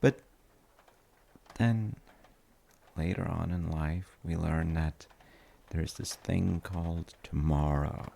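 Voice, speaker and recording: A man playing a gentle, reflective dad in an intimate audio roleplay, musing softly and reassuringly to a tired child with thoughtful pauses. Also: steady tone, full character voice. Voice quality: gravelly, creaky low voice. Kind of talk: soft intimate sleep-aid audio roleplay.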